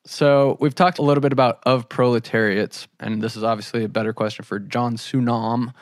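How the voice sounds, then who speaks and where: A man with an average-pitched voice hosts an interview casually. He explains things in loose, informal phrasing, and the recording is dry. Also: steady tone, a little fast, no echo. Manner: informal, frequent use of "like" as he explains. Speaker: podcast host, interviewer.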